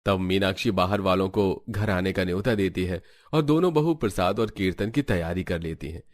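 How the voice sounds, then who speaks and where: A man's voice: low-pitched, normal speed, no echo. A man reading scripted narration as the storyteller of a family tale.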